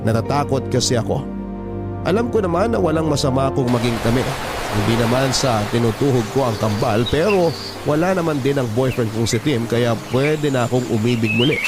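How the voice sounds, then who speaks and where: A man's voice: medium pitch, animated, fairly quick. A man with a Filipino accent narrating a sentimental story as a radio host reading a letter. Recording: music behind the voice.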